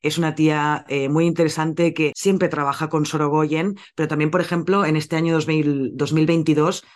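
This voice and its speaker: A man's voice: a little high, level tone, moderate pace. A man hosting a casual film podcast, chatty and correcting his own slips as he goes. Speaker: podcast host presenting a film.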